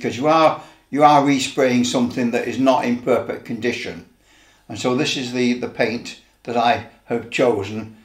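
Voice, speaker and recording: Older man, echoey room. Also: medium pitch. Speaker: presenter of a hobby how-to video. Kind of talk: informal demonstration. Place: indoor office room. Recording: roomy echo, background noise and clicks.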